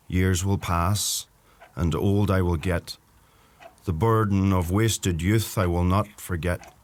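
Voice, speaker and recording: An actor with a Donegal Irish accent playing a brooding, awkward young farmer in a radio play. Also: male voice, low-pitched, a little mellow. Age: young adult. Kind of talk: radio play acting with poetic monologue.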